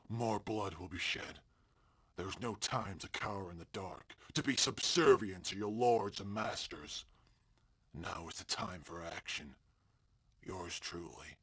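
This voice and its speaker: Deep-voiced, guilt-ridden man confessing. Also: a bit bright, husky, heavily acted. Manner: grave, portentous, deliberate. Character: guilt-ridden world-weary man confessing a dark secret. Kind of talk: dramatic scripted monologue.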